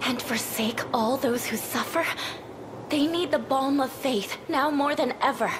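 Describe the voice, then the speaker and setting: A woman's voice: sits high, measured pace, noisy background. An actress playing an earnest, brave young woman of faith in a fantasy game, insistent and pleading. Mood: solemn, resolute. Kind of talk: theatrical fantasy game acting. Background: music.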